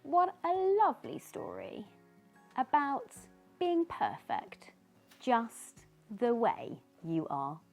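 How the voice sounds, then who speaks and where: A woman reading a children's story aloud in an expressive, dramatic, drawn-out way. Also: fairly high pitch, vocal fry, faint music behind.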